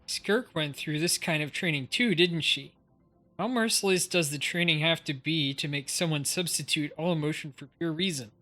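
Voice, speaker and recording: A livestreamer with a bright, forceful tone reads game dialogue aloud. The delivery is playful and dramatic, with faint music behind it. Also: mid-range voice, moderate pace. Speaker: live streamer.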